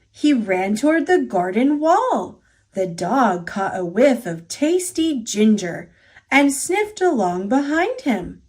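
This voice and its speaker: A woman with an American accent reading a children's story aloud in an expressive, deliberate manner. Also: high voice. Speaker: storybook reader.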